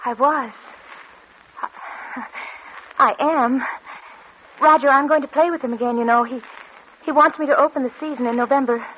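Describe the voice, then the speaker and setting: An actress playing a fragile, desperate concert pianist in a breathless, insistent 1940s radio style. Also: female voice, sits high, fairly even intonation, normal speed, long pauses, natural voice. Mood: excited. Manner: breathless, insistent, repeating herself. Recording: muffled, noisy 1940s radio recording.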